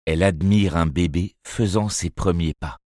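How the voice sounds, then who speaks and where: A man reading a children's novel aloud as first-person narrator, in a measured, expressive storytelling manner. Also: low-pitched, no room sound, clean studio sound. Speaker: audiobook narrator.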